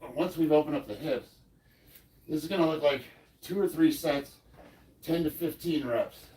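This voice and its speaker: A man's voice: on the high side, moderate pace, dark tone, breathy edge, poor audio. A man in his fifties who is stuffed up and short of breath, talking loosely and rambling as he coaches a training session. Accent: American. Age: 50s. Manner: loose and rambling, trailing off mid-sentence. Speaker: powerlifting coach. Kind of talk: casual training vlog.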